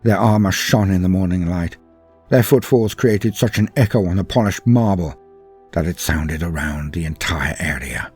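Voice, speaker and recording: Low-pitched man, husky, solemn theatrical narration, drawn-out phrases, music underneath. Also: darkish tone. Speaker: storyteller narrating fan fiction. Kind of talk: dramatic scripted first-person narration.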